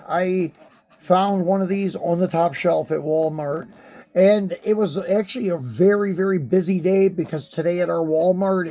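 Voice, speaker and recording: A man with a fairly high voice that is hoarse and worn from a sinus infection. He chats in a loose, rambling way, full of ums and uhs, as he hosts a die-cast collector unboxing. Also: warm tone. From West Virginia, USA. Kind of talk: casual unboxing chat.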